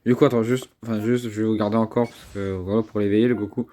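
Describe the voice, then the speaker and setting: Young male gaming creator, congested from a cold; excitable, rambling commentary. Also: lowish voice, quick pace. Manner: excitable, chatty, repeats words, swears under breath. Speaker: mobile game YouTuber. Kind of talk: casual gameplay commentary.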